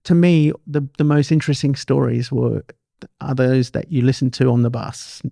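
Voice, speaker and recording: Gravelly-voiced man hosting a chat. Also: medium pitch, steady tone, normal speed, warm tone, dry recording, studio quality. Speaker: podcast host. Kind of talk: casual conversational interview.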